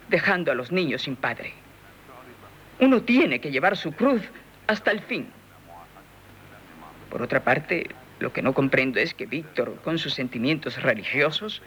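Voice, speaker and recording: A woman's voice: sits low, fairly bright tone. An aristocratic mother of a grown son, full of worry and stern principle. She speaks in the formal, lamenting style of old radio theatre. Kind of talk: 1970s Spanish radio drama acting.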